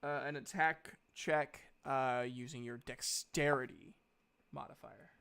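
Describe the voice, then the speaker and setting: A man's voice: mid-range voice, fairly even intonation, a little slow, sharp tone, smooth. A man acting as game master, casually narrating a tabletop game and explaining rules.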